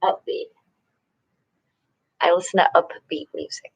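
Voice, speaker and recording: A woman chatting with viewers during a live painting stream, soft-spoken and halting, often dropping to a whisper. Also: rough recording. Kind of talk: casual live-stream chat while painting.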